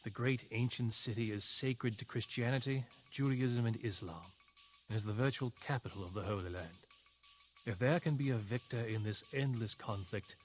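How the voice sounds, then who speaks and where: Game narrator: male actor as reflective captured knight, grave measured storytelling, mid-pitched, over music. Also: close to an everyday voice. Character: captured crusader knight, weary reflective chronicler in Saracen service. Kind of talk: scripted narration. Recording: game cutscene with music underneath.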